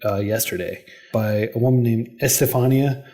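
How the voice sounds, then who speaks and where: A man's voice: mid-range voice, moderate pace, mellow. A man, a native English speaker, talking as the guest in a casual podcast interview. He explains at length and peppers his speech with "like" and "you know". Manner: chatty, explanatory, lots of "like" and "you know" fillers. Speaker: interview guest, head of a coding non-profit.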